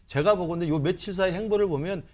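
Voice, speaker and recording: A man's voice: sits high, quick pace, darkish tone. A man giving political commentary as a party politician, arguing in long run-on sentences. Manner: long run-on argument, piling up clauses.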